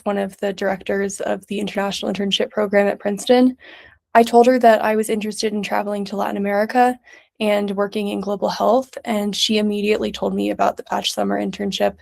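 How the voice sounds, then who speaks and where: A young woman from New York City presents her summer project at an even, mid-range pitch. Her voice carries a gravelly, fry-tinged edge as she moves methodically through prepared notes, clearly and in order. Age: young adult. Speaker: student intern presenter.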